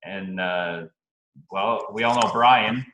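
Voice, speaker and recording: A man's voice: on the low side, slow, a bit bright, a little hiss or hum. A man from Canada hosting a casual video meeting, easygoing and full of fillers and restarts. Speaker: meeting host. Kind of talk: casual video-call chat. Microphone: video call. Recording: lo-fi echoey video call.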